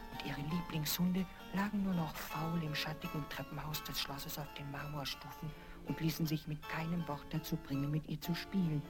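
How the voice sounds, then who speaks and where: A woman tells a children's fairy tale in a deep female register. Her delivery is measured and dramatic, with varied emphasis, and music plays clearly behind the voice. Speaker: narrator.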